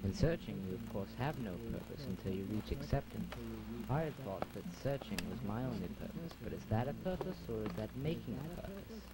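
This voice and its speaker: A man acting a solemn philosophical voice in an amateur afterlife audio drama, speaking in measured declarations. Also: fairly low pitch, big pitch swings, very noisy. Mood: solemn, reflective. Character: solemn philosophical male voice of the afterlife, musing on purpose. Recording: cassette dub under loud music.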